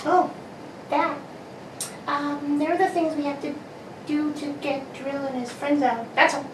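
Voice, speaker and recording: A woman playing an eager, evasive little girl with childlike, stumbling excitability in an amateur radio drama. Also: sits high, unhurried. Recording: roomy, distant mic, faint noise.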